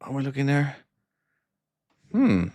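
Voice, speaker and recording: A man's voice: medium pitch, wildly swooping pitch, slow, warm tone, slight background noise. An Irish man who makes trucking games, chatting casually and self-deprecatingly on a live stream while playing and answering chat. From Ireland. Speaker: game developer streaming his own game.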